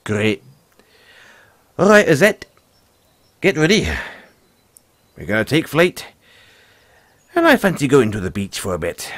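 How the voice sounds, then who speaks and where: A man's voice: mid-range voice, huge pitch swings, unhurried, pauses often, fairly bright tone, no room sound. Man playing a folksy, lilting dragon rider mentor. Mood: friendly, upbeat. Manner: folksy, earnest, musing, says "aye" and "lad". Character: friendly, philosophical male dragon rider, gentle mentor. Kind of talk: audio roleplay addressed to the listener.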